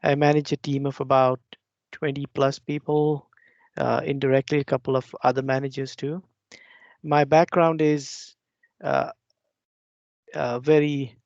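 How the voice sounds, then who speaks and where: A man in his forties speaks in a fairly high, flat, steady voice. He talks in a measured way with frequent fillers. The video-call audio is dull and dry. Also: no echo. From India. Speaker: software engineering manager. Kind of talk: conference keynote on a video call. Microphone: video call mic.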